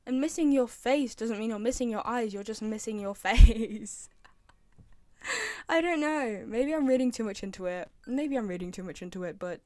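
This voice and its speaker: A woman's voice: fairly high pitch, a little mellow, no room sound. A woman chatting casually and giving opinions as a fan commentator, rambling and repeating herself for emphasis. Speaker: fan commentator ranking game voice lines.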